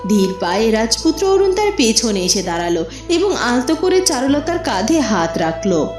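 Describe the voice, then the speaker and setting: High, animated woman storyteller with echo. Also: normal speed. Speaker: narrator. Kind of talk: dramatic scripted story narration. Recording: roomy echo with music behind.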